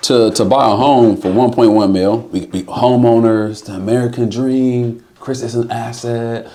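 A man's voice: medium pitch, steady tone, measured pace, warm tone. A man talking as a podcast guest, emphatic and motivational, often repeating words as he drives his point home. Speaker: guest, insurance business owner. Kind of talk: casual podcast conversation.

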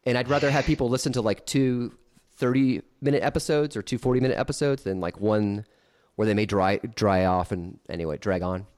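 A man's voice: lowish voice, fairly even intonation, a little fast, a little mellow, smooth. A man in his thirties hosting a podcast, teasing his guest and telling stories in a joking way. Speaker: podcast host. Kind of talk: casual podcast chat.

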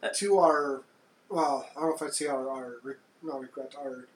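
A man with a deep voice co-hosting a podcast in casual chat, soft-spoken and hesitant, often restarting his sentences. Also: normal speed, echoey room, a little hiss or hum, rough recording. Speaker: podcast co-host.

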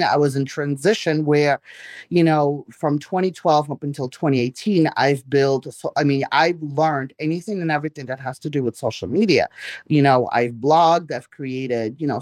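A woman's voice: sits low, darkish tone, no echo. Guest: woman, chatty and rambling, dry close sound. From Belarus. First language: Russian. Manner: chatty, rambling, frequent restarts. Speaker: guest artist. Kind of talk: live-streamed interview.

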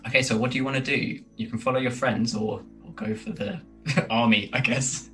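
A man's voice: medium pitch, fairly even intonation, brisk, sharp tone, far from the mic, faint music behind, rough recording. A man running a tabletop game as game master, narrating the scene and joking with the players. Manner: laughs often, joking.

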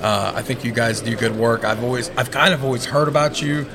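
A man in his thirties co-hosts a podcast in a casual, rambling way and is generous with praise for his guests. He talks at a middle pitch. A crowd chatters loudly behind him in a busy event hall. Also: brisk. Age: 30s. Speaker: podcast co-host. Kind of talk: casual interview chat.

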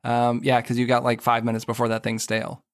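Podcast host, man, mid-pitched voice, dry sound, easygoing chatty manner. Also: monotone, fast, no room sound. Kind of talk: casual chat.

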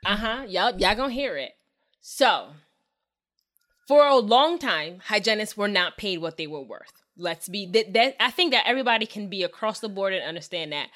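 Bright-voiced laughing woman. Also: medium pitch, animated, normal speed. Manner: laughs often, emphatic. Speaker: dental hygienist and educator, co-host. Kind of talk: casual podcast chat.

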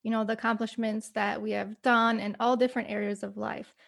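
A young American woman hosts a relaxed podcast interview. Her voice sits a touch high with a gravelly fry at the edges. She talks loosely, with plenty of fillers. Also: fairly quick. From United States, family from Kosovo. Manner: chatty, many fillers like "you know" and "like". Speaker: podcast host. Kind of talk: casual interview chat.

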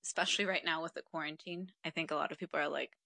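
A woman's voice: deep voice, fairly even intonation, rapid-fire, vocal fry, pushed and bright, dry recording, clean studio sound. A woman in her twenties from Mexico, a podcast guest talking earnestly and reflectively, with frequent ums. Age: 20s. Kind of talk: casual interview conversation.

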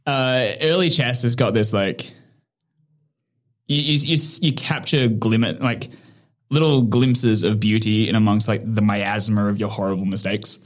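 A man's voice: lowish voice, steady tone, measured pace. A man who founded an online chess training platform, speaking reflectively as a guest in a casual interview and often laughing as he searches for words.

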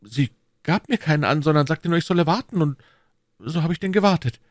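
A man's voice: on the high side, animated, brisk, dry recording, studio quality, pitched above the performer's own voice, lightly acted. A man voicing a muddled, timid shopkeeper in an audiobook, fawning and flustered in manner. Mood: smug, fawning. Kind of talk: audiobook character dialogue.

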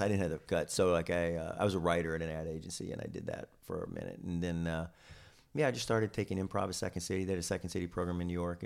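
A man with a low, creaky voice talks casually as a guest on a podcast. He rambles through his stories in a self-deprecating way, with plenty of gravel in the tone. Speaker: podcast guest, stand-up comedian and writer. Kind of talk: casual chat.

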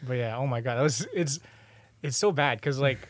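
A man's voice: mid-range voice, normal speed. A man hosting a podcast, telling stories in an informal, casual way and swearing freely. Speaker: podcast host. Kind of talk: casual banter and storytelling. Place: small room. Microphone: close podcast microphone.

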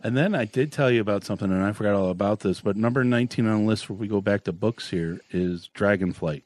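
Very deep-voiced easygoing man. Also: quick pace, darkish tone, no echo. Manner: easygoing, reads out from a list. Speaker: podcast co-host. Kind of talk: casual chat.

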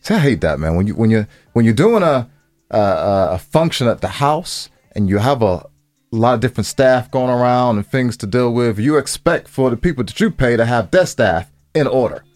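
An American man co-hosting a podcast, chatting casually with plenty of slang and free swearing, animated when he tells a story. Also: mid-range voice, normal speed, dry recording. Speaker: podcast co-host.

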